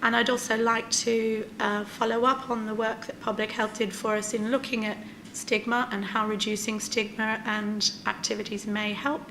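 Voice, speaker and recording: A woman's voice: a little high, level tone, normal speed, fairly bright tone, slight background noise. A woman presenting a report at a formal meeting, careful and hesitant, with frequent fillers. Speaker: clinical lead presenting a report.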